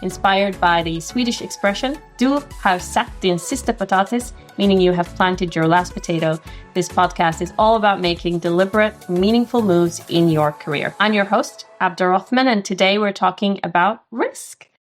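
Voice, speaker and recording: Woman hosting a podcast, medium pitch. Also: normal speed, soft background music. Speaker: podcast host. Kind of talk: scripted introduction and interview questions.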